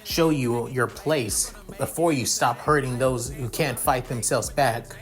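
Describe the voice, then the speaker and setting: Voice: mid-range voice, measured pace, soft background music, lightly acted. A narrator voicing a tough, protective young girl who scolds in a blunt way. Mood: scolding.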